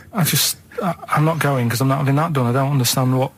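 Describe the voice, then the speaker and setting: A man's voice: mid-range voice, fast, very noisy. A man in his thirties with a Mancunian accent chatting casually on radio, blunt, plain-spoken and reluctant. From Manchester, England. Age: 30s. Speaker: radio show sidekick. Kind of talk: casual chat.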